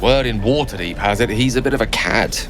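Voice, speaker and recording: An actor playing a courteous, genial adventurer in a video game, polite and eloquent. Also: male voice, fairly low pitch, fairly quick, close to an everyday voice. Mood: politely curious. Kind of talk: video game character acting. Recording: music playing behind.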